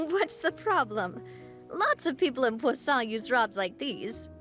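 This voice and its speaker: A confident young woman in charge, cheerful and playfully teasing. Her voice is high-pitched, in a polished video game performance with music clearly behind it. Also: big pitch swings, unhurried, fairly bright tone, exaggerated voice. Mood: warm, cheerful.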